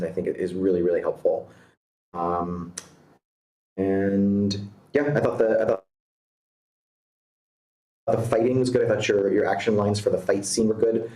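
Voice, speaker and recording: A low-pitched man talks through casual, constructive notes on a script. He is halting, thinking aloud as he goes, with a roomy echo on his voice. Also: a little mellow. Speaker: screenplay reader giving feedback. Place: online video meeting.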